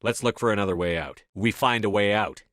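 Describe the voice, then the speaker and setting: A man acting in a video game as a commanding, resolute space soldier, speaking firmly with measured authority. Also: lowish voice, a bit bright, no room sound, studio quality, close to an everyday voice. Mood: defiant, determined. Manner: firm, authoritative, measured delivery. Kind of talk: scripted game dialogue acting.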